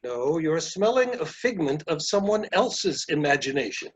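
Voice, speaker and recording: A performer playing a blunt, grumpy naysayer in readers theater, curt and deadpan. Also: medium pitch, moderate pace, close to an everyday voice. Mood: blunt, matter-of-fact. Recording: dull, lo-fi sound.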